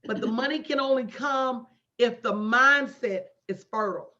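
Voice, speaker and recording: A woman's voice: a little high, moderate pace, sharp tone. A woman in her late forties coaching a live online audience with emphatic, preacher-like repetition and folksy, direct address. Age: late 40s. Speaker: motivational business coach hosting a livestream. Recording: dull lo-fi livestream audio.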